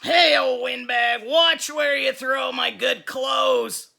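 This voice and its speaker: A woman voicing a rude, hateful smelly boy creature in a storybook, with a harsh, loud screech and insulting bursts. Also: sits high, fairly even intonation, takes it slowly, creaky, heavily acted. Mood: furious, screeching.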